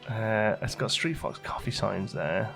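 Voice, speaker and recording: A man's voice: fairly low pitch, a little slow, gravelly, over music. A man giving casual gameplay commentary, chatting and musing aloud as he questions his own choices, as a let's play host.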